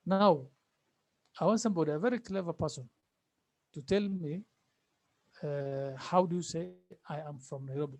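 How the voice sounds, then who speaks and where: High-voiced man teaching Arabic. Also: darkish tone. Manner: patient, repeats phrases, asks students questions. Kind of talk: online class teaching.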